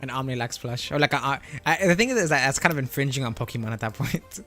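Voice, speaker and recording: A young man from Florida hosts a relaxed live stream, answering viewers at an easy pace and restarting his sentences often. Soft music plays under his mid-pitched voice. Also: fast talker. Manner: laid-back and rambling, reads out chat questions, frequent laughs and self-corrections.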